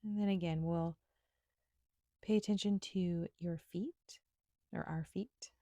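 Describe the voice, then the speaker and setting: A woman instructor leading a guided meditation in a gentle, soothing, unhurried manner with pauses. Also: lowish voice, steady tone, no room sound, studio quality.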